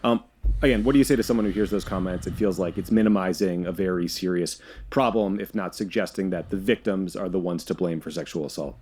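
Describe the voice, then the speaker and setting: A man in a broadcast interview, pressing a guest with measured questions. He talks briskly in a lowish voice. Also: darkish tone, slightly rough. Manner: measured, reading quotes aloud.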